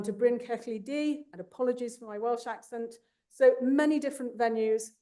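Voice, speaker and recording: A woman giving a formal awards speech, enthusiastic and warm, with small asides to the audience. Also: on the high side. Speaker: awards presenter.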